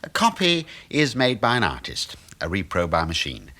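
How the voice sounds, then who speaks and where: Cheeky british middle aged husband, low voice but very lively, teasing know-all, old radio play. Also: male voice, moderate pace, heavily acted. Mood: musing, opinionated. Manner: breezy, teasing, musing.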